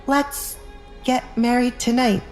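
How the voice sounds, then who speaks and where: A woman's voice: fairly high pitch, fairly even intonation, slow, darkish tone, roomy sound, faint music behind, pitched above the performer's own voice. A woman voicing a cold, resolute young noblewoman and wounded daughter in an audio drama, cool and firm. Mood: hesitant, resolved. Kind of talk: audio drama narration with acted dialogue.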